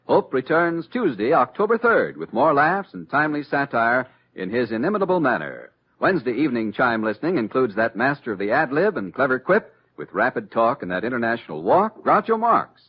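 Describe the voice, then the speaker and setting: Animated American male radio announcer. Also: medium pitch, fairly quick, hardly any pauses, breathy edge. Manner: polished, emphatic. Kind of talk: 1950s radio announcing, promos and credits. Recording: muffled old radio broadcast recording.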